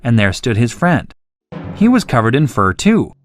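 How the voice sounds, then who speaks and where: A storyteller narrates a scripted tale for children in a mid-pitched voice. Music is clearly heard behind the narration. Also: sing-song, moderate pace.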